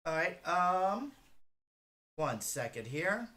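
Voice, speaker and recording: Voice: medium pitch, sing-song, slow pace, fairly bright tone. A streamer giving casual reaction commentary, rambling and thinking aloud with a fan's enthusiasm.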